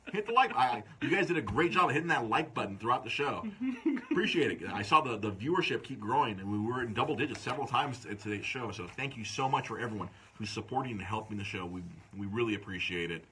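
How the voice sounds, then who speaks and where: Brief: man, bright lively delivery, loud joking host, light hiss. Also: mid-range voice. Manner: loud, joking, plugs events. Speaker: podcast host. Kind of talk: casual live-stream chat. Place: comic book store.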